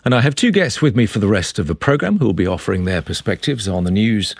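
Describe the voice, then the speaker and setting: A man's voice: lowish voice, lively intonation, fast talker, gravelly, dry recording. A man with a British accent hosting a live radio news-magazine interview. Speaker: radio host.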